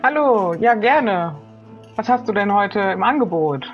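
A friendly, generous pirate played by a woman in an improvised adventure. The voice is mid-pitched, casual and upbeat. Faint music plays in the background. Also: animated, a little slow, highs cut off, natural voice. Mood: cheerful. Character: friendly, generous pirate searching for missing captain. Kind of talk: improvised role-play.

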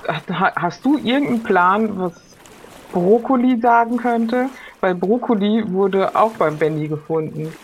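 A woman's voice: mid-range voice, unhurried, a little hiss or hum. A woman playing an improvised role-playing game in casual chat, thinking aloud hesitantly.

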